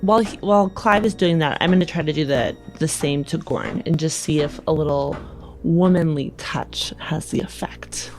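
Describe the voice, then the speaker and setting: A woman's voice: deep voice, normal speed, a little mellow, soft background music. A woman playing a tabletop roleplaying game, casually speaking as and about her character.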